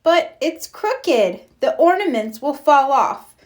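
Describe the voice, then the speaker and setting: A woman's voice: high-pitched, big pitch swings, slow, pitched above the performer's own voice, full character voice. A woman voicing a young, bossy, earnest big-sister rabbit in a children's storybook, with an emphatic way of talking.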